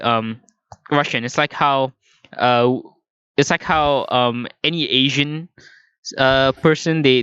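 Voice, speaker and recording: A man's voice: medium pitch, fairly bright tone, clear, resonant tone, no room sound, muffled recording. A teenage boy co-hosting a casual podcast, chatty and full of fillers, swearing freely and firing off questions. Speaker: podcast co-host. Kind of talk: casual chat.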